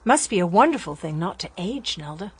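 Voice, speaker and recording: Young woman, uneasy and probing, animated pitch. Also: brisk, husky. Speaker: daughter of the house. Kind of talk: radio drama acting.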